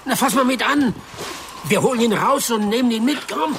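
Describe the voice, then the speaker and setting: An actor playing a wry, unruffled small-time accomplice in a radio drama, talking colloquially. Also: male voice, extremely high pitch, normal speed, noisy background. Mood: brisk.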